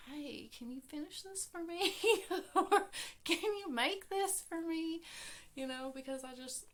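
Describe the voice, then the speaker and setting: A woman's voice: high-pitched, a bit bright. A woman, hosting a casual crochet vlog from her home craft room. She chats to viewers in a scattered, friendly way with many fillers. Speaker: crochet podcast host. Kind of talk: casual chat to camera. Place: small home craft room with yarn shelves.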